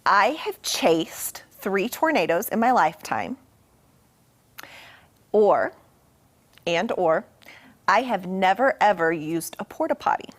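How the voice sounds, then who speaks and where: A woman's voice: mid-range voice, very animated, slow, long pauses. A woman who works as a TV meteorologist, chatting casually and playfully on a morning show.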